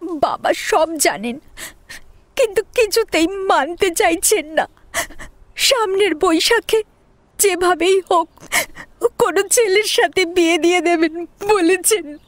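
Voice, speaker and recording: A woman's voice: extremely high pitch, slow pace, pauses often, no room sound, voice pushed up. A woman playing an anxious, proper young college student in love, hesitant and pleading, in an audio story. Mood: tearful, despairing. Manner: hesitant, pleading, easily scandalised. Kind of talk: melodramatic audio story acting.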